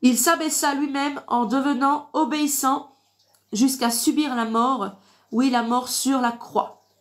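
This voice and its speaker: A woman's voice: high voice, steady tone, a little slow. A woman with a congested, blocked-nose voice giving informal Bible teaching on a livestream, didactic and repetitive, punctuating points with amens. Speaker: online preacher. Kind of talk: informal live Bible teaching with verse reading.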